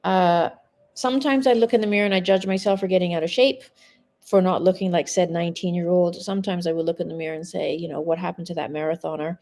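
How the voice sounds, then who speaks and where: A woman in her forties speaks in a low, mellow voice, talking straight to her live viewers from home. She is a mindset coach, blunt and conversational, and swears casually as she goes. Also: steady tone, quick pace. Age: 40s. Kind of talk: casual live-stream monologue.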